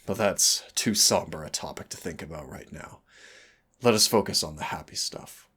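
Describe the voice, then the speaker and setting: A man voicing a gentle, affectionate demon prince in an intimate roleplay audio, husky and gravelly, speaking tenderly and without hurry. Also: sits low, heavily acted. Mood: solemn. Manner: tender, unhurried, wistful storytelling. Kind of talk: intimate roleplay audio acting, soft and soothing.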